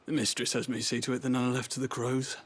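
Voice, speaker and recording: A man's voice: mid-range voice, flat delivery, quick pace, husky, close to an everyday voice. A man playing a sombre, dutiful servant in a video game, subdued and plain-spoken. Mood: sombre, weary.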